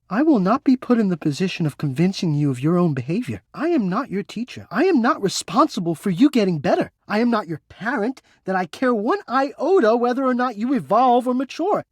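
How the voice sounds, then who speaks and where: A man's voice: very high-pitched, slightly rough, no room sound, clean studio sound, exaggerated voice. An actor voicing a sentient home ventilation system in a video game, an eloquent, dramatic male romantic with florid, formal delivery. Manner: florid, theatrical, formal wording.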